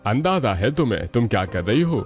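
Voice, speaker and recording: A skeptical middle-aged husband from a cartoon family tale, acted by a man. His voice is pitched down, measured and deep. The audio is dull with music beneath. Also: sing-song, natural voice. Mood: doubtful, resigned. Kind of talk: Hindi cartoon story dialogue.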